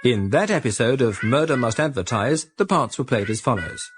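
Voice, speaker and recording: A man with a British accent reading scripted radio drama credits as an announcer in a formal, measured manner. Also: mid-range voice, animated, over loud music.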